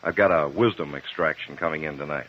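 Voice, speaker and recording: Very deep-voiced, protective tough professional. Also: male voice, fast. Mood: wary, casual. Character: protective, hot-tempered male dentist. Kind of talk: 1950s radio crime drama acting. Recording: muffled old 1950s radio recording.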